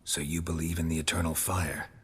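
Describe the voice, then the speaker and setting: Grizzled monster hunter, rumbling deep and raspy, dry and terse, in a reverberant space. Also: male voice, level tone, fairly quick, heavily acted. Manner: terse, dry, low-key. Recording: long hall reverb.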